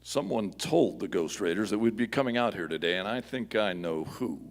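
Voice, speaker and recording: An actor playing a steadfast, commanding masked Western hero in a live radio play, with formal, resolute phrasing. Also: male voice, fairly low pitch, animated, moderate pace, in a large hall. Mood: confident.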